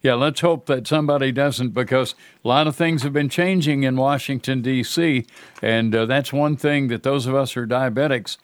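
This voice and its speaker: A man's voice: mid-range voice, moderate pace, no room sound. An older man hosting a talk radio show, earnest and folksy in a conversational way.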